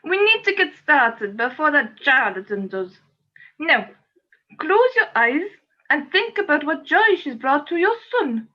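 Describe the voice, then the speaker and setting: An earnest exorcist acted by a woman with a high voice that swings up and down. The delivery is coaxing and theatrical, as in a radio play, with slightly lo-fi sound. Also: sing-song, a little slow. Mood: coaxing, exasperated. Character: earnest ghost exorcist coaxing a stubborn spirit. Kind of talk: amateur radio play acting. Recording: slightly dull, lo-fi audio.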